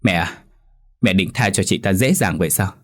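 A man's voice: low voice, normal speed. A man doing a whiny spiteful stepsister. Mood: scheming. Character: spiteful young woman, tattling stepsister.

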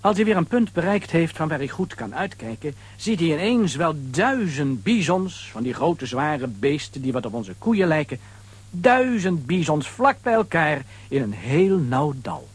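A man with a husky voice narrating a scripted children's adventure story. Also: a little high, very animated, measured pace.

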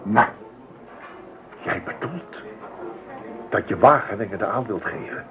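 A man's voice: fairly low pitch, expressive, a little slow, faint music behind. An actor playing a formal, proud, easily affronted father in a radio drama, speechifying pompously in long sentences. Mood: indignant. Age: middle-aged. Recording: phone-like old radio recording.

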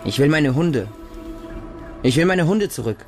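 A man's voice: a little high, expressive, measured pace, darkish tone, soft background music. An actor playing a distrustful feral orphan boy raised by dogs, narrating a radio drama in short, insistent, repetitive childlike sentences. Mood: defiant. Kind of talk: radio drama monologue, first-person narration.

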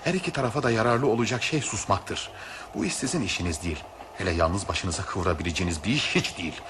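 A man's voice: sits low, quick pace, noisy background, natural voice. An actor playing an argumentative, self-protective male train passenger in a radio play, dismissive and formal. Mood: firm, argumentative. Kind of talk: Turkish radio theatre acting.

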